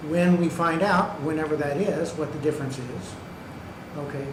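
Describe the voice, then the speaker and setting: A man's voice: fairly high pitch, normal speed. A man giving public comment at a county meeting, pressing his points emphatically and checking in with "okay" and "right". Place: county government meeting room. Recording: echoey hall with background noise.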